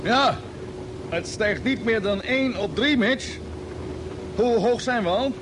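An actor playing a calm, authoritative space expedition captain in an old radio drama, giving measured orders. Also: male voice. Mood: tense, determined. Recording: noisy old radio drama, vehicle rumble.

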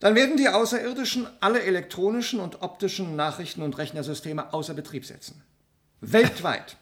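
A man's voice: sits high, fairly quick. A man playing an insistent space-centre scientist in a German radio play, delivering alarming technical detail precisely and emphatically. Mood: urgent, insistent.

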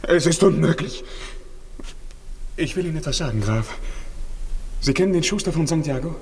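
An actor playing a distraught man whose beloved is condemned, halting and desperate in 1950s radio drama style. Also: a little high, expressive, crowd noise. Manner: halting, brooding, breaking into desperate outbursts. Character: anguished, distraught man, lover of a condemned woman. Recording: noisy old 1950s radio recording.

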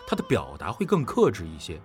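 A man's voice: fairly low pitch, huge pitch swings, fast. A man chatting on a panel as a voice actor, explaining his views earnestly and with emphasis. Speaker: voice actor and drama director. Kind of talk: casual panel chat. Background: background music.

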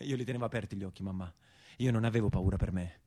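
Man playing an anguished, hushed young southern Italian brigand. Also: fairly low pitch, fast talker, voice pushed down, lightly acted. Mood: bitter, anguished. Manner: hushed, bitter, urgent. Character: anguished young male brigand pleading with his mother. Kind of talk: one-man theatrical monologue.

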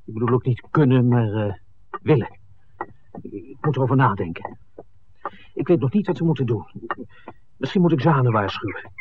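A man's voice: mid-range voice, animated, normal speed, long pauses, natural voice. An actor playing a hesitant, thoughtful man torn between duty and affection in a 1960s Dutch radio drama. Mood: torn, apologetic. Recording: muffled, noisy old radio drama recording.

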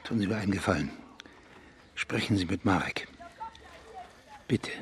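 An actor playing a calm, discreet senior police official in a radio crime drama, speaking in a controlled way. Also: male voice, low-pitched, normal speed, vocal fry, slight background noise. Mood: composed. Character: composed, discreet senior police official managing a secret affair.

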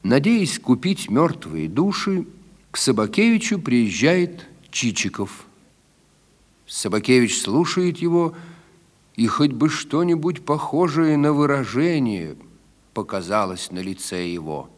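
Casting: male narrator, medium pitch, animated delivery, scripted literary documentary. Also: unhurried.

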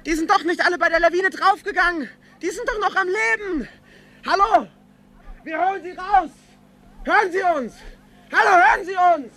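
An actor in an old-style radio play, playing a frantic avalanche rescuer who calls out urgently. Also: male voice, extremely high pitch, normal speed, sharp tone, slight background noise. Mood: urgent, agitated. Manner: urgent, exclamatory calling. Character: frantic avalanche rescuer calling out for buried survivors.

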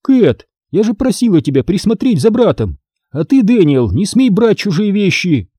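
Man voicing a brisk, high-pitched busy mother. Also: big pitch swings, warm tone, no echo, studio quality. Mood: scolding. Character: busy, impatient mother of two children.

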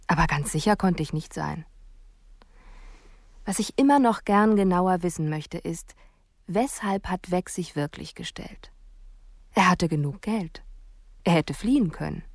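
An actress playing a sceptical police investigator, narrating a reflective, questioning inner monologue in a radio drama. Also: female voice, fairly low pitch, a little slow, pauses often, dry recording, studio quality. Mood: brooding.

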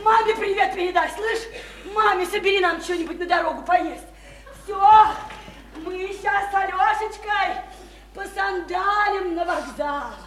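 A woman's voice: extremely high pitch, a bit bright. An actress in a radio play voicing an overwrought provincial woman desperate to escape, gushing and repeating herself in colloquial speech. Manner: gushing, repetitive, colloquial, hysterically elated. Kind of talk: theatrical Russian radio play acting. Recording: roomy, echoing stage-like radio play recording.

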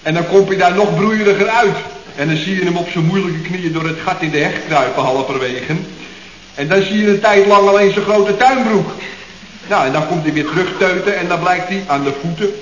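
Cheeky, rambling lad, echoey. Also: male voice, high-pitched, fairly quick, fairly bright tone. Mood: gleeful telling. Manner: rambling, mangles words and corrects himself. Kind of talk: 1970s radio comedy acting. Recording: echoey, dull old radio recording, faint noise.

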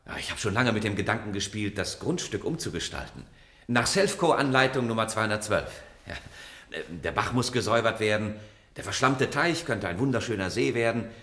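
Low-voiced, hesitant father. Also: animated, a little fast, pushed and bright. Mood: musing. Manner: conversational, musing, somewhat hesitant. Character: easygoing, hesitant middle-aged father and robot owner. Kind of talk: German science-fiction radio play acting. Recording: roomy radio studio sound.

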